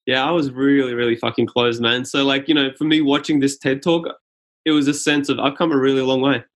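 A man's voice: mid-range voice, fairly even intonation. A man from Serbia, a Serbian speaker, talking thoughtfully as a podcast guest. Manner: thoughtful, measured, earnest. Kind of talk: reflective interview talk.

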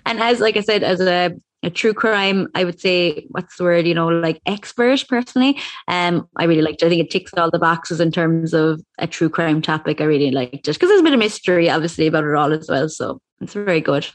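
An Irish woman speaks in a slightly lower register than most women. She is a podcast co-host in casual conversation, enthusiastic and given to emphatic phrasing. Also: a little fast. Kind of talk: casual chat.

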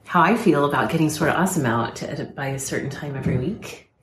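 Low-voiced woman chatting in an echoey room. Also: expressive, fast, slight background noise. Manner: laughing, self-deprecating storyteller. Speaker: podcast co-host. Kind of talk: casual chat.

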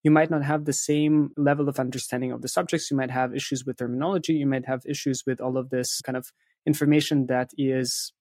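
A man's voice: mid-range voice, flat delivery, brisk, darkish tone, no room sound, studio quality. A man giving thoughtful, conversational explanations as an interview guest, often checking in with a questioning tag at the end of statements. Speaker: interview guest, content agency specialist.

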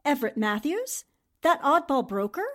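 A woman's voice: sits high, very animated, normal speed, a bit bright. A woman playing a poised, skeptical newspaper reporter in a theatrical, polished 1940s radio drama style. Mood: surprised.

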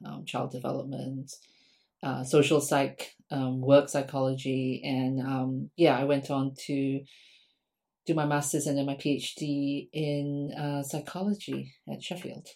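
Low-voiced woman from Singapore. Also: little pitch movement, a little slow, gravelly, mellow. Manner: frequent ums, thoughtful and conversational. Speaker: guest academic. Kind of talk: podcast interview answers.

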